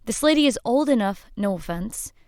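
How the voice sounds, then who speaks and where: An actress playing a wry, quick-witted small-town woman in a radio drama, halting and coaxing. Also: fairly high pitch, animated, a little mellow, no room sound, studio quality, natural voice. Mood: placating. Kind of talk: horror mystery radio drama acting.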